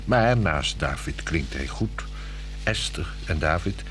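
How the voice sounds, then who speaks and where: A man's voice: low voice, animated, slow, a bit bright, exaggerated voice. A man acting a dry, reserved husband in his fifties in a 1960s radio drama. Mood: curious, flirtatious. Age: 50s. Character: wry, reserved husband of fifty, cautious but curious. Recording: 1960s radio play, music behind.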